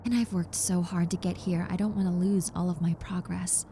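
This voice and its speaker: A woman's voice: fairly low pitch, fairly even intonation, normal speed, dark tone, slight background noise, natural voice. A woman playing a nervous, apologetic young reformed yandere in a roleplay audio drama, speaking in a hesitant, stammering, earnest and reassuring way. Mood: hopeful, grateful. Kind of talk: roleplay audio drama, conversational monologue to the listener.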